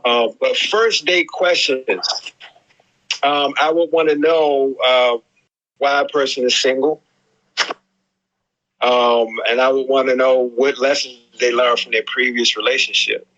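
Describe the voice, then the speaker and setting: A man's voice: mid-range voice, fairly even intonation, unhurried, fairly bright tone. A middle-aged man acting as resident psychologist, giving reflective dating advice in a casual chat. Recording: muffled lo-fi audio.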